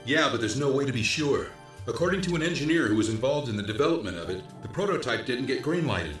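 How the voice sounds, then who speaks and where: Guy acting as a special ops investigator giving a briefing, quick talker, echoey room, game cutscene. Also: medium pitch, expressive, a bit bright, soft background music, natural voice. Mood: informative. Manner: explanatory, measured briefing delivery. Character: diligent male special-ops investigator reporting intelligence findings. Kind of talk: English dub acting in a video game cutscene.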